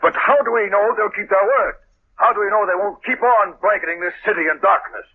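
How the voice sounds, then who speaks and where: A man's voice: high voice, brisk, natural voice. An actor playing a harried male police commissioner in a 1930s radio drama, speaking in an agitated, brusque way. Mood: anxious. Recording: tinny old radio broadcast with hiss.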